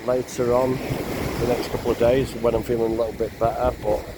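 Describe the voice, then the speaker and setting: A man's voice: medium pitch, flat delivery, fairly quick, noisy background. An older man, chatting casually to camera in an easygoing way for his travel vlog. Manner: easygoing, chatty, with little fillers. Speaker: travel vlogger.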